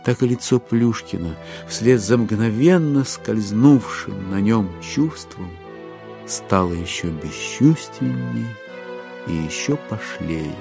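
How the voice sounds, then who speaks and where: A man narrating a literary audio drama as a measured, scripted storyteller. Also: fairly low pitch, animated, gravelly, over music, heavily acted. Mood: grave.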